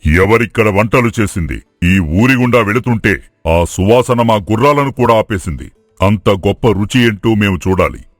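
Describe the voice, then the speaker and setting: A man's voice: on the low side, animated, moderate pace, no room sound, studio quality, soft background music. A man playing a commanding royal envoy who speaks with grand authority in a moral story. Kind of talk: acted moral story dialogue.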